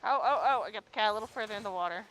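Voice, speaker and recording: A woman streaming a game live, chatting excitably in a casual way and swearing now and then. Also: mid-range voice, very fast, a little hiss or hum, rough recording.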